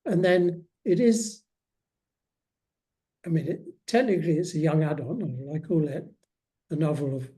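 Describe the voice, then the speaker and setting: Mellow male author chatting in an interview. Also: a little high, moderate pace, pauses often. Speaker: guest author. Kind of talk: casual interview chat.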